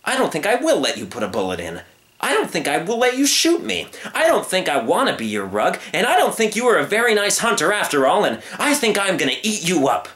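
A man's voice: high-pitched, huge pitch swings, a little fast, fairly bright tone, voice pushed up, full character voice. A man voicing a naive, friendly, curious young lion in a storybook tale, with an innocent, earnest manner. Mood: defiant.

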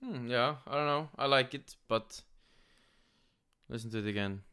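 A man from Sweden with a Swedish accent, giving casual, somewhat halting gaming news commentary. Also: medium pitch, pushed and bright, smooth. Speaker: gaming YouTuber. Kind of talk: casual news commentary, partly reading aloud.

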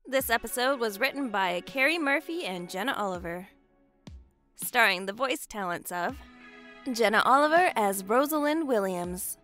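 Woman reading show credits, bright friendly voice, a bit high. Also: animated, normal speed, soft background music. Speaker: announcer for the audio drama. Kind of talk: scripted credits and announcements.

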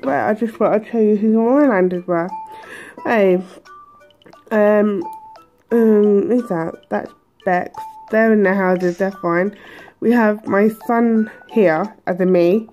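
A woman hosting a let's play, chatty and hesitant with lots of ums, her voice a bit croaky from a cold. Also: medium pitch, takes it slowly, mellow, soft background music. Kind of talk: casual game commentary. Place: indoors at a table.

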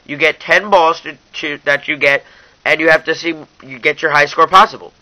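Bright-voiced young man doing app review. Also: a little high, normal speed, no room sound, rough recording. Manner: informal, addresses viewers as you guys. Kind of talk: casual hands-on app review narration.

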